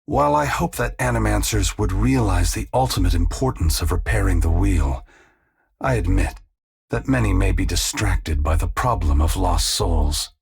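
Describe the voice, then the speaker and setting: A man voicing a solemn, gentle, ancient god in a video game, with a gravelly, husky voice and measured, formal, patient delivery. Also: deep voice. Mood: grave, reflective. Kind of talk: scripted video game character dialogue.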